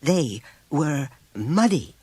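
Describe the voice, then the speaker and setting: A man's voice: medium pitch, sing-song, unhurried, slightly rough, dry recording, pitched above the performer's own voice. A man voicing an anxious, polite woman schoolteacher with a hesitant, delicate and apologetic manner. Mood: firm, careful.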